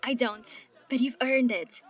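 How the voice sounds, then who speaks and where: A woman playing a caring team captain who speaks calmly and with authority. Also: on the high side, a little slow, a crowd in the background, natural voice. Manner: calm, warm and commanding. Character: steady, caring young female captain. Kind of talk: audio drama acting.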